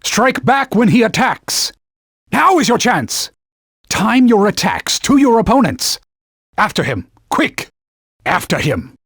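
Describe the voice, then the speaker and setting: Talking sword, game mentor: stern, pompous, archaic scolding, highly animated delivery, raspy edge, very dry studio sound. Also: male voice, slow pace, no room sound, exaggerated voice. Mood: urgent instructing. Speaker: video game companion guide. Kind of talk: video game voice acting, short combat callouts.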